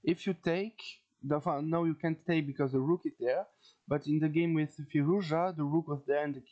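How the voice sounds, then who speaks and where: A man from France with a French accent gives an informal lesson as a video host, haltingly searching for words and repeating phrases. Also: on the high side, steady tone, highs cut off. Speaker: chess teacher, video host. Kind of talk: informal instructional commentary.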